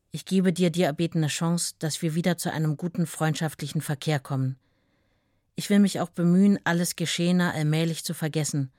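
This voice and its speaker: Radio play: actress as estranged wife, low and restrained, earnest letter reading, dry close mic. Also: female voice, darkish tone, no echo, lightly acted.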